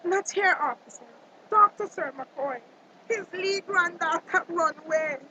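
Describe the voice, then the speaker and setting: A woman's voice: extremely high pitch, unhurried, bright, forceful tone, a little hiss or hum, heavily acted, low-quality recording. A woman playing a concerned, forthright fishing-town local with a Belizean Creole accent in a radio drama.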